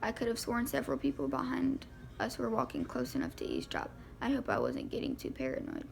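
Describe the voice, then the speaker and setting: Woman reading a novel, very creaky voice. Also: on the high side, huge pitch swings, brisk, slight background noise. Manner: informal reading that stumbles over words. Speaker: amateur audiobook reader. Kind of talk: reading a first-person novel aloud.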